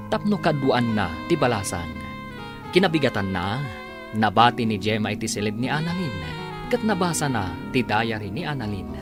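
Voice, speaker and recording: A man with an Ilocano accent reading scripted radio drama narration as the announcer, in a dramatic, emphatic way. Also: mid-range voice. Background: music.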